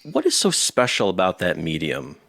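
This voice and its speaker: A man's voice: low voice, wildly swooping pitch, normal speed, creaky, fairly bright tone, dry recording, clean studio sound. A man hosting an interview in a casual, conversational style. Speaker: interviewer, host.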